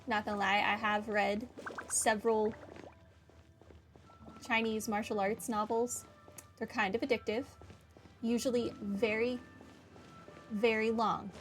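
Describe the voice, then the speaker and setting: A woman's voice: fairly high pitch, flat delivery, measured pace, long pauses, soft background music. A woman hosting a gaming video, commenting casually as she plays.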